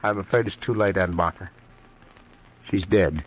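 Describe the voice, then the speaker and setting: Man acting a placating nephew, low voice. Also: moderate pace, close to an everyday voice. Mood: solemn. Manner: placating, apologetic, polite. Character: smooth, scheming nephew placating his aunts. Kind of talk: old-time radio drama acting. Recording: noisy vintage radio recording.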